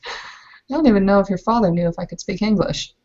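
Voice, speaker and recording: A woman's voice: mid-range voice, fairly quick, darkish tone, muffled recording, lightly acted. A woman playing a composed, frank Bavarian bride in a tabletop roleplay, hesitating as she searches for words. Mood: dry, frank.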